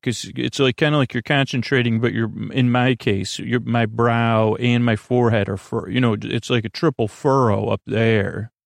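A man hosting a bedtime podcast in a creaky, lulling, soothing voice, rambling and halting as he interrupts himself, with softly enunciated words. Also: medium pitch, fairly even intonation, no room sound, clean studio sound. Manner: meandering, halting, self-interrupting; soft, gentle enunciation. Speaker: podcast host.

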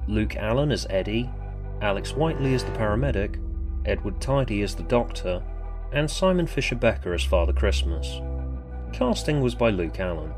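A man reading scripted story narration in a measured, deliberate way. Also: on the low side. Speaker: author and narrator.

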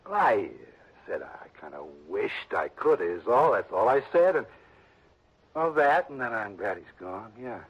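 A man's voice: lowish voice, sing-song, unhurried, slightly rough, full character voice. An actor playing a lazy, blunt man who inherits from a dead relative, drawling and defensive, in a 1960s radio drama. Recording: dull lo-fi old radio broadcast.

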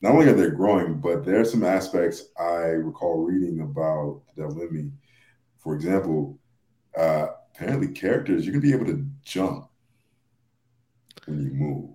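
A man with a low, dark-toned voice chats about games on a podcast. He speaks haltingly, circling back and restarting phrases. The sound is noticeably roomy. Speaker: podcast panelist. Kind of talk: casual gaming discussion.

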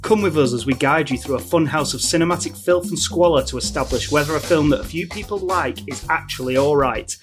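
A man's voice: on the high side, brisk, hardly any pauses, faint music behind. A man hosting a podcast, reading a playful intro and making dry, sarcastic jokes. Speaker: podcast host. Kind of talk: casual chat with scripted intro.

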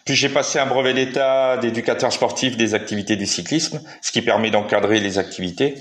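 A man's voice: mid-range voice, fairly quick, a bit bright. A man in his forties from eastern France, a cycling coach speaking as a guest, hesitant with many fillers and self-corrections. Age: 40s. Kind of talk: casual interview.

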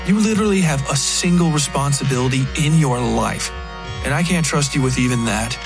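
Irritable husband for audio drama, man narrating: gravelly, curt, contemptuous, scolding, music underneath. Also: mid-range voice, brisk, pitched above the performer's own voice, lightly acted.

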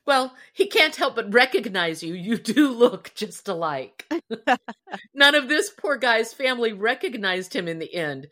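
A woman's voice: fairly high pitch, big pitch swings, a little slow, pushed and bright. A woman co-hosting a podcast, chatting casually and expressively about a story in an earnest way. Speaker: podcast co-host.

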